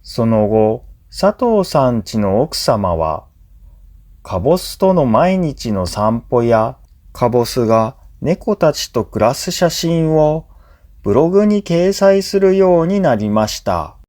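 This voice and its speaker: A man's voice: medium pitch, sing-song, takes it slowly, a little mellow. A man reads a scripted story for language learners as a teacher, enunciating carefully in short, separated phrases. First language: Japanese.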